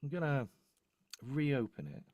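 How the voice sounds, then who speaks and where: A man chatting casually and haltingly on a video call, often muttering to himself as he works. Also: medium pitch, sing-song, darkish tone, dry recording, muffled recording. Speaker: co-host and audio producer. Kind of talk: casual live troubleshooting chat. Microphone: microphone on a video call.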